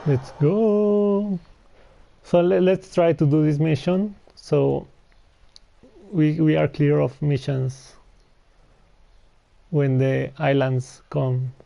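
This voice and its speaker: Slow, slightly high-voiced man playing games. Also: fairly even intonation, creaky, dark tone. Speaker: video game streamer. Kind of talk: casual gameplay commentary, reading on-screen text aloud.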